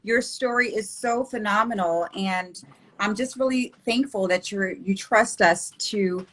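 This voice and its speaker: A bubbly woman hosts a live online interview show, gushing with excitement over her guest. Her voice is bright and forward. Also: medium pitch, unhurried, rough recording. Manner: bubbly and effusive. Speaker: host.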